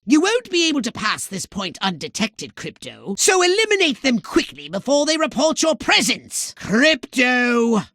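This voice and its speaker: A man voicing a haughty, scheming little alien commander in a video game, with a shrill nasal voice and pompous, sardonic, wordy delivery. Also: very animated, moderate pace, hardly any pauses, bright, forceful tone, no echo, studio quality, heavily acted. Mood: commanding, agitated. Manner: pompous, verbose, sardonic, exclaiming dramatically. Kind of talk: theatrical video game voice acting. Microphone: close, dry studio microphone.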